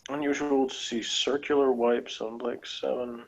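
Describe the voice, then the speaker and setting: A man's voice: medium pitch, flat delivery, normal speed, vocal fry. A man co-hosting a review, chatting casually as he reads out notes and adds rambling, skeptical asides. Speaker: co-host of a TV episode review. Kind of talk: casual chat while reading out and reacting to review notes. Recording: dull, lo-fi sound.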